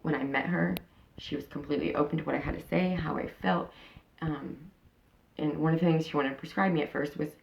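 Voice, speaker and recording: A woman's voice: low-pitched, steady tone, fairly quick, vocal fry, muffled recording. A woman in her thirties talking frankly in a casual interview, rambling with many fillers and restarts. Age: 30s. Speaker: interviewee, channel host.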